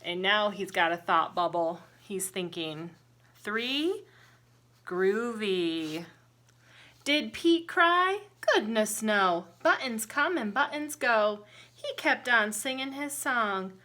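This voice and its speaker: A woman teacher reading a children's picture book aloud in an expressive, sing-song way, pausing to ask young listeners simple questions. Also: medium pitch. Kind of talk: interactive children's storybook read-aloud with counting and singing.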